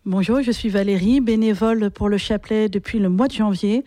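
A woman volunteer introducing herself in a calm, composed way. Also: mid-range voice, fairly quick, no room sound.

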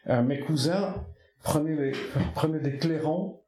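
A man's voice: on the high side, roomy sound. A man from the Basque Country whose first language is Basque, being interviewed and reminiscing in a reflective, poetic way, often stopping to restart his phrases. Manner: soft-spoken, poetic, halting with many restarts. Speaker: artist interviewed.

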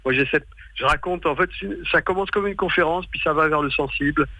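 Male stage performer, sixties, native patois speaker. Gravelly, bright voice, hesitant and colloquial interview talk. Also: fairly high pitch, quick pace, no echo, poor audio. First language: western French patois. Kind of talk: casual radio interview.

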